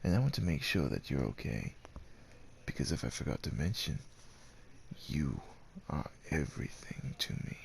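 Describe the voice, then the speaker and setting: A man playing a devoted, gentle werewolf suitor in an ASMR roleplay, speaking with hesitant tenderness and courtly phrasing in a gravelly, husky voice. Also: extremely low pitch, very animated, normal speed, slight background noise, heavily acted. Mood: tender. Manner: hesitant, tender, old-fashioned courtly phrasing with tag questions. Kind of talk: ASMR roleplay, acted monologue addressed to the listener.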